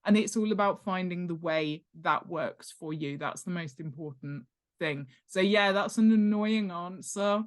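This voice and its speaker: A woman's voice: lowish voice, unhurried. A woman chatting casually as an interview guest, talking in a humorous, self-deprecating way about herself and her work. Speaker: guest author and world-building expert.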